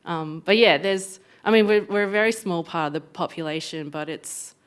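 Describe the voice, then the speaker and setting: A woman's voice: lowish voice, normal speed, clear, resonant tone. A woman answering on a panel, hesitant with many fillers. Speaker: guest business owner. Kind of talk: panel discussion.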